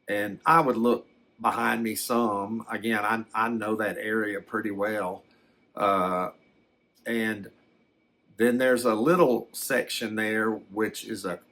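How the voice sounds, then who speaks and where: An older man, telling a personal story in a halting, reflective, folksy way. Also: medium pitch, a bit bright. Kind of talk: slow first-person storytelling.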